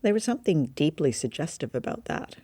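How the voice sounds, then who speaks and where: A woman's voice: sits low, big pitch swings, fairly quick, warm tone, breathy edge, no room sound. Chatty woman co-host, dry sound. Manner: chatty, amused, asks questions. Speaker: podcast co-host. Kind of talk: casual review chat.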